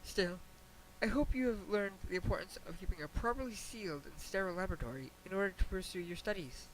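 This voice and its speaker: A high-pitched, regal pony princess, played by a man, reading out a letter in a storybook style. The delivery is formal and measured, patient and gently admonishing, with wry understatement. There is an audible hiss on the amateur recording. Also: close to an everyday voice, poor audio. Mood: diplomatic, gently chiding. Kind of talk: dramatic reading of a fan fiction letter. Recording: hissy amateur home recording.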